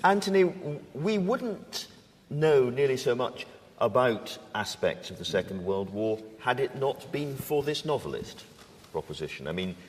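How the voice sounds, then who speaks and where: A man hosting a literary panel, conversational, animated and enthusiastic as he questions the guests. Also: mid-range voice, measured pace, husky. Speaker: host, panel chair. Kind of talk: conversational interviewing at a literary event. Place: large reverberant hall, live panel event. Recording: echoey hall with long reverb.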